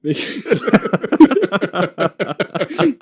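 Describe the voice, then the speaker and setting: A man chats as a podcast guest in a fairly high voice that moves around a great deal. He jokes crudely and breaks into laughter often. The talk is loose and relaxed. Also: very slow, slightly rough. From Australia. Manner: laughing, profane, joking. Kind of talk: casual chat.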